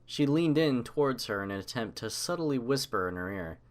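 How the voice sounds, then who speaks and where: A man's voice: medium pitch, expressive, moderate pace. Man narrating a story.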